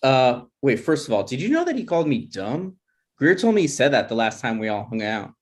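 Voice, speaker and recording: A man's voice: medium pitch, very animated, a little fast, lightly acted. A man playing an insecure, jealous boyfriend and grad student in a comedy table read, speaking in a hesitant, stammering way. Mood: defensive. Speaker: actor in a screenplay table read.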